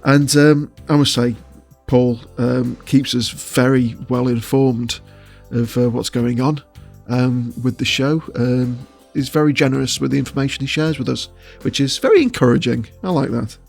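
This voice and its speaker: A man's voice: medium pitch, normal speed, gravelly, darkish tone, soft background music. A man giving casual interview answers as a voice actor, choosing his words with hesitation and often chuckling.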